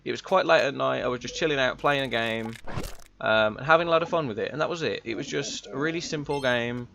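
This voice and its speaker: A young man with a slightly raspy voice, chatting in a rambling, laid-back way while streaming a game. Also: medium pitch, quick pace, highs cut off, crowd noise. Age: young adult. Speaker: gaming streamer. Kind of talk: casual chat while playing. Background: game music.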